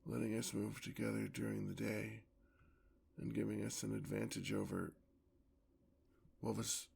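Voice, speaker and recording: A man playing a courtly, hungry vampire lover in an ASMR fantasy roleplay, with formal, theatrical speech and a gravelly voice. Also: on the low side, little pitch movement, a little mellow, heavily acted. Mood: thoughtful. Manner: theatrical, formal, drawn-out endearments. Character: courtly, hungry male vampire, tender yet predatory lover.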